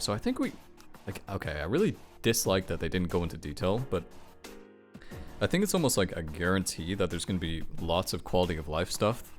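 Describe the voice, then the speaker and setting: A man giving casual, exclamatory reaction commentary as a video creator, thinking aloud and swearing freely. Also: deep voice.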